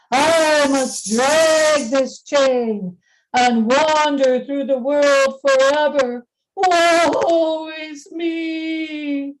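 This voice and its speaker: A woman playing the mournful, wailing ghost of a dead businessman in a radio play, speaking slowly and solemnly. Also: high-pitched, rough recording. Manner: slow, solemn, drawn-out delivery. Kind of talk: amateur radio play acting.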